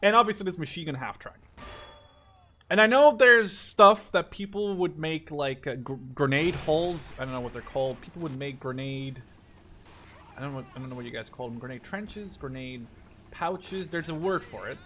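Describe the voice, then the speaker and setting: A young man in his twenties with a slightly high voice talks through a video game. He rambles casually, thinking aloud as he goes and swearing offhandedly. There is some faint noise behind his voice. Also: normal speed, bright, forceful tone. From Netherlands. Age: 20s. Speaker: gaming YouTuber. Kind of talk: casual let's-play commentary.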